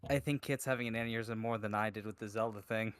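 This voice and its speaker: A man's voice: on the low side, fairly even intonation, fast, clean tone, dry recording, studio quality. A man chatting casually as a co-host on a podcast.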